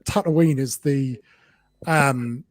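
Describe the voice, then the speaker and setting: A man's voice: medium pitch, extremely slow, no echo. A young man, hosting a casual livestream chat in a playful, teasing way. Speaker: host.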